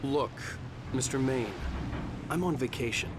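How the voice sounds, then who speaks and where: A weary, guarded writer from a video game, played by a man, dry and curt while staying civil. His mid-range voice sits in loud car noise. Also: medium pitch, unhurried, close to an everyday voice. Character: guarded, weary famous male writer on vacation.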